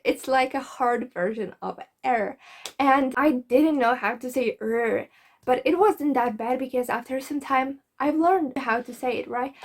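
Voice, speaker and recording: A woman from Poland, a Polish native speaker with a Polish accent, giving frank, earnest language-learning advice straight to camera in a vlog. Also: high-pitched. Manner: earnest, frank, occasionally swears when fired up. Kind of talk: direct-to-camera advice talk.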